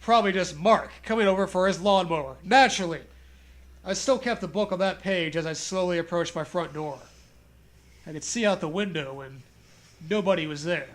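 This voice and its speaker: A man's voice: high voice, moderate pace. A man reading a horror story aloud as comedy, with casual, joking banter and a readiness to laugh.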